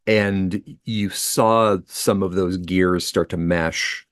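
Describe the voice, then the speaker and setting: A man, co-hosting a book podcast, speaking casually and getting animated when excited. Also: takes it slowly, smooth, no room sound, studio quality. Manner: animated, pitch jumps up when enthused. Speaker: podcast co-host.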